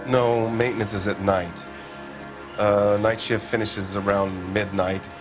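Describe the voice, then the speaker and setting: A man's voice: fairly low pitch, fairly even intonation, unhurried, over music, close to an everyday voice. An actor playing a defensive, evasive male factory owner with a folksy manner in a video game. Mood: evasive, cagey. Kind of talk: acted video game dialogue.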